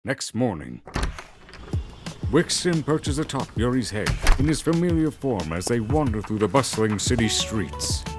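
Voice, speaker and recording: A man's voice: mid-range voice, big pitch swings, unhurried. A man narrating a scripted story as a dramatic narrator. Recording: music behind the voice. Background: clear background music.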